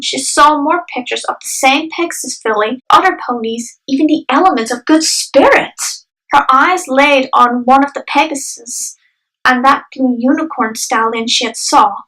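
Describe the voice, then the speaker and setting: A young adult woman reading scripted dramatic narration for a story, recorded echoey and off the microphone. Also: high voice. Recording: distant echoey home microphone. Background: soft music.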